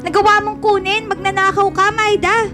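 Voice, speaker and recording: A woman's voice: extremely high pitch, flat delivery, moderate pace. A woman playing a possessive, scheming, insistent teenage schoolgirl in a radio drama, lovesick for her friend. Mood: accusing. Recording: music bed behind the voice.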